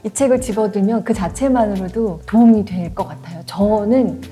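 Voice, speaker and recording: A woman in her forties hosting a book talk, lively and emphatic, laughing often. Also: mid-range voice, normal speed, soft background music. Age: 40s. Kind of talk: warm conversational interview.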